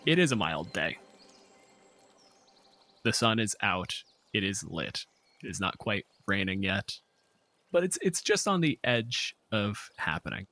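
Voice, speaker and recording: A man's voice: lowish voice, big pitch swings, a little slow, long pauses, bright, forceful tone. A man speaking casually as a game master, narrating scenes off the cuff with some profanity.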